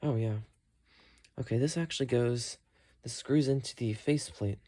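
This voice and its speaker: A man's voice: medium pitch, moderate pace, dark tone. Man casually talking through a hands-on repair. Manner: thinks aloud while working. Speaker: host of a repair tutorial.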